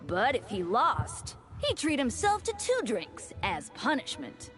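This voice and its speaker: A woman's voice: a little high, wildly swooping pitch, unhurried, fairly bright tone, soft background music, exaggerated voice. A woman playing a devout, polite young male deacon with an impish streak in a video game, speaking formally and earnestly. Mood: playfully earnest. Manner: formal, courteous, playfully earnest. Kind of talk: scripted video game dialogue.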